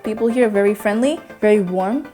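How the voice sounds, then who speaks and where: A woman's voice: mid-range voice, brisk, warm tone, soft background music. A nineteen-year-old woman from Myanmar, a native Burmese speaker, speaking candidly in a personal interview with hesitant restarts. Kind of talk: personal testimony.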